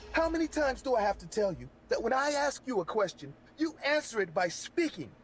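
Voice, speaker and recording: A man voicing a creepy adult man who fakes a high, breathy pop-star voice and erupts into screaming. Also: very animated, normal speed, over loud music. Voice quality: shrill, singsong falsetto.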